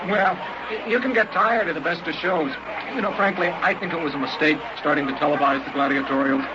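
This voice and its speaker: A muffled, very noisy old radio recording of an actor playing a male hunter, high-voiced and cocky. He speaks in hesitant, stammering small talk, as if a shy visitor from out of town. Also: quick pace, natural voice. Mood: chatty. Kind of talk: old-time radio drama acting.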